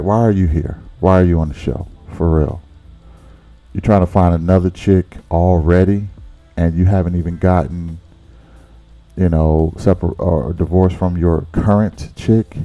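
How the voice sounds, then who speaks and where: A man's voice: low voice, warm tone, highs cut off. A man hosting a reaction channel, giving blunt, casual commentary on a relationship clip and often repeating words as he thinks.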